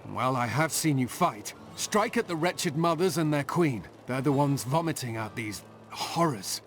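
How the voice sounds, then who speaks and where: An actor playing a battle-worn militia captain in a fantasy video game, earnest and commanding. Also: male voice, medium pitch, animated, measured pace, faint music behind, natural voice. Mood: grave. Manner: urgent, commanding.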